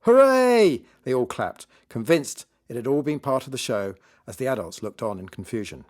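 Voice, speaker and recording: A man narrating a children's story in a lively, scripted read-aloud style. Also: medium pitch, brisk. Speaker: author reading his children's book.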